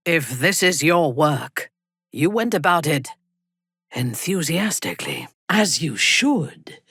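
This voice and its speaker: Ruthless female dark elf, fantasy game, low-pitched, slow and deliberate, imperious, husky, formal. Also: very animated, no echo, clean studio sound, exaggerated voice. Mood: commanding. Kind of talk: scripted video game character lines.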